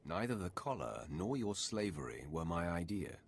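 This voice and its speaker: A Sith warrior with a very low, animated voice. He is stern and confident, a dutiful enforcer who speaks tersely and formally in cinematic game style. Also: moderate pace, slight background noise. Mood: stern, controlled. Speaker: voice actor in a game. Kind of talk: cinematic video game acting.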